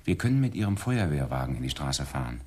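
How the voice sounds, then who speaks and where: An actor playing a bold, dry-witted police detective in a German radio crime play, with a cool manner and husky voice. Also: male voice, very deep voice, sing-song, fast, darkish tone, exaggerated voice. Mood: bold, planning.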